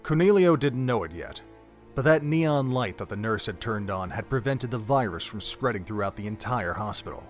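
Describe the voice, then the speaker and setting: A man's voice: fairly low pitch, expressive, moderate pace, faint music behind. A man narrating a dramatic story from a script, as the narrator of an audio drama.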